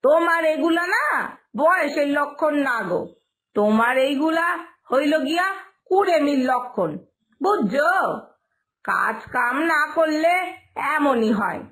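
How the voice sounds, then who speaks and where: A blunt, mocking young village working woman from a cartoon tale, acted by a woman, with an eastern Bengali dialect. She taunts in a very high voice and stretches her words out slowly. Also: animated, fairly bright tone. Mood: mocking, scolding. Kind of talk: cartoon story voice acting.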